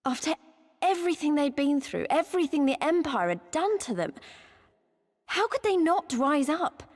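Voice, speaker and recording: An actress playing a young female resistance fighter in a video game, passionate yet self-doubting, speaking in a heartfelt, halting, plain way. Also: high voice, animated, in a large hall. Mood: angry disbelief. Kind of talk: cinematic video game voice acting.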